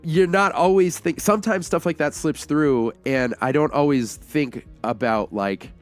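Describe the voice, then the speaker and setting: Excitable man chatting about film, music behind. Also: fairly high pitch, a little slow. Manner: excitable fan talk, peppered with slang. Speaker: podcast co-host reviewing a film. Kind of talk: casual enthusiastic film discussion.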